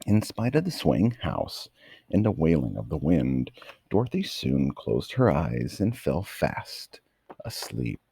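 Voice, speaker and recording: A man with a gravelly, husky voice reading a children's bedtime story aloud in an expressive, dramatic manner. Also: a bass voice, slow pace, no room sound.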